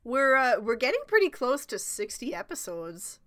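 A woman's voice: fairly high pitch, sing-song, moderate pace, a bit bright. A young woman with a stuffy, congested voice from a cold, co-hosting a podcast in a chatty, self-mocking way with casual swearing. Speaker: podcast co-host.

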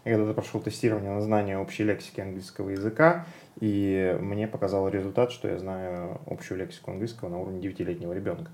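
A man's voice: sits low, level tone, quick pace, darkish tone. A man from Russia, a podcast guest, talking in a casual, thoughtful way with many hesitations and fillers. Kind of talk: casual conversation.

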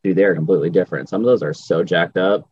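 A man's voice: racing, a little mellow, slightly rough. A man chatting casually as a podcast host, in an informal, slangy and emphatic way. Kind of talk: casual chat. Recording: roomy, muffled low-fidelity audio.

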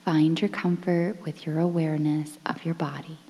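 Female meditation guide, deep and mellow, soft breathy delivery, bathed in long reverb. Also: flat delivery. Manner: soothing, breathy, near-whispered, measured phrases with pauses. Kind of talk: guided relaxation meditation, softly spoken. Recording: long reverberant hall-like echo.

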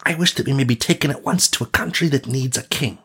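A man acts out a confident storybook prince, pitched a little above his own voice. The prince is courteous and formal, presenting himself like a showman. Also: quick pace, a bit bright, breathy edge. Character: young prince, courteous, confident wonder-worker.

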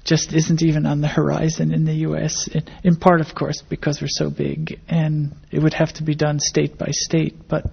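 Older american woman getting interviewed, gravelly and flat pitched, thinks as she talks, kinda muffled recording. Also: moderate pace, few pauses. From grew up in Indonesia and the Philippines, American-born. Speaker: interviewee, academic biologist. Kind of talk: conversational interview answers.

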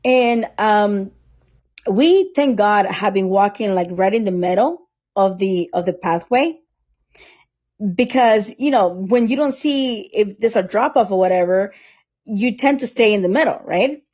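A woman's voice: medium pitch, a little slow, darkish tone, lo-fi sound. A woman from Costa Rica hosting a podcast, telling stories in a chatty way and laughing often. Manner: chatty storyteller who laughs often and acts out what others said. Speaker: podcast host. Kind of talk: casual chat over a recording app. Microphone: remote recording app.

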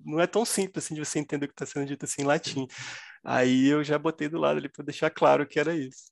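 A man's voice: fairly high pitch, steady tone, very fast, gravelly, dry recording, clean studio sound. A man with a Brazilian accent, a guest translator, explaining with hesitant restarts in a casual podcast chat. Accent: Brazilian Portuguese.

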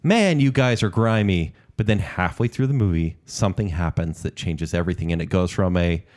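A man's voice: sits low, fairly quick, a little mellow. A man co-hosting a casual movie review podcast, enthusiastic and teasing. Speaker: podcast co-host.

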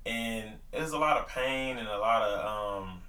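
A man's voice: fairly low pitch, normal speed, pushed and bright, reverberant, far from the mic, poor audio. A man in his early twenties, talking casually about his marriage and faith, with lots of fillers and loose grammar. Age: 20s. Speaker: podcast co-host. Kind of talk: casual faith-based couple talk.

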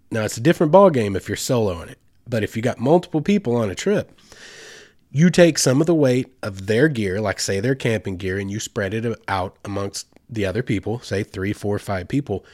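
A man's voice: lowish voice, expressive, fairly quick, darkish tone, no room sound, clean studio sound. A man congested with a head cold gives casual, rambling instructional talk as a host, in a folksy, informal way with slang. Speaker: host giving outdoor trip advice.

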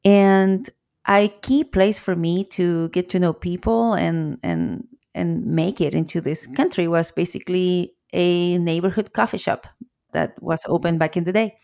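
Chilean lady on a podcast, low gravelly voice, kinda far from the mic. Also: animated, a little slow. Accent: Chilean Spanish. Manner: reflective, frequent restarts and soft laughs. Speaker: coffee shop owner, guest. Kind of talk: podcast interview.

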